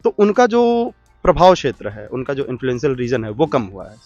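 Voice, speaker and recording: A man's voice: mid-range voice, sing-song, a little fast, darkish tone, lo-fi sound, soft background music. A man answering an interviewer's question, explaining in a thoughtful, lecture-like way.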